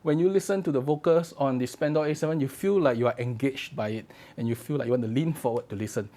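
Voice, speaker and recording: A man's voice: medium pitch, quick pace, warm tone. A man reviewing audio gear in a casual, conversational way. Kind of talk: casual spoken product review to camera.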